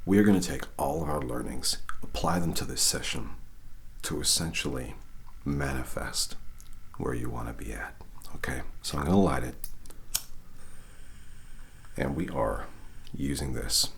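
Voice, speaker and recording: Deep gravelly guy doing soft asmr reiki whispers, slow and soothing, faint hiss behind. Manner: whispery, slow and soothing, frequent "okay?" check-ins. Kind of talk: soft-spoken ASMR guided session.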